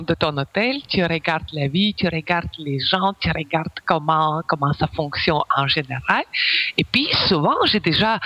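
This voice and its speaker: A middle-aged Ukrainian woman guest on a radio show, speaking French with an accent, often pausing to search for words. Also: lowish voice, bright, forceful tone, low-quality recording. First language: Russian. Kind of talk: casual radio interview.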